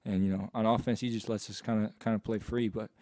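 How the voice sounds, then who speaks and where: A young college basketball player fields postgame questions at a press table, talking quickly in a low, flat, laid-back way. His voice sits a bit low and sounds dry and close on the table mic. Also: no echo. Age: young adult. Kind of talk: postgame press conference answers. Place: press conference room. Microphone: table mic on stand.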